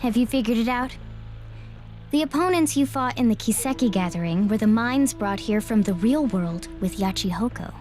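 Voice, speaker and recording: A woman's voice: a little high, lively intonation, moderate pace, darkish tone, natural voice. A woman playing a composed, condescending game guide who is secretly a villain, in an anime game dub. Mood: gloating, calm. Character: game guide girl revealed as smug, scheming villain. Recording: dramatic background music.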